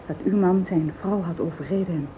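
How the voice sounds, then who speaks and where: An actress playing an anxious, self-justifying accomplice, pleading and defensive, in a Dutch radio play. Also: female voice, a little fast, lightly acted. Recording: muffled phone-like old radio recording, faint rumble.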